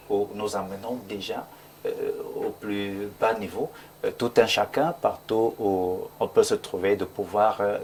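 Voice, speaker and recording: Low, flat Cameroonian man on a distant mic. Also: vocal fry, a little hiss or hum. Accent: Cameroonian French. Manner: hesitant, many fillers and repeated words. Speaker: computer science lecturer and guest. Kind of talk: talk show guest interview.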